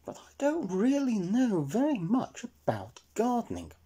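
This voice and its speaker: A man's voice: sits high, wildly swooping pitch, unhurried, mellow, slight background noise, voice pushed up, exaggerated voice. A man voicing a polite, curious, earnest male elf in a children's storybook, courteous and a little tentative. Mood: dismayed, musing. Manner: tentative, courteous, muttering to himself.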